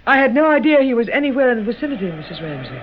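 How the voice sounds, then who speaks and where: Poised lady painter, swooping pitch. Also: big pitch swings, racing. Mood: polite, evasive. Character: poised, evasive, innocent-sounding woman painter. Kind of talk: 1940s-50s radio drama acting. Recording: muffled, faintly hissy old radio recording.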